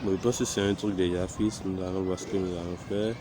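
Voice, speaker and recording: Man with a cold, deep voice, unhurried tutorial instructor. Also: steady tone, background noise. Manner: slow, hesitant, talks through clicks step by step. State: has a cold. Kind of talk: screen-recorded software tutorial.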